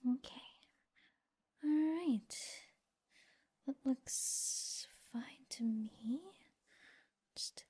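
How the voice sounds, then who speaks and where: A woman playing a friendly, gently teasing mecha mechanic in an ASMR roleplay, soft-spoken and often whispering, murmuring reassurance as she works. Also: on the high side, slightly rough, full character voice. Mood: soothing, focused. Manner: unhurried, murmuring reassurance while busy working.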